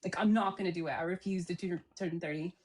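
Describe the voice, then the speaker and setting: A woman's voice: lowish voice, steady tone, quick pace, a little mellow. A woman in her forties from Colorado, co-hosting a casual online talk show and chatting in a laughing, self-deprecating way. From Colorado, USA. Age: 40s. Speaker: co-host. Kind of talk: casual live-stream chat.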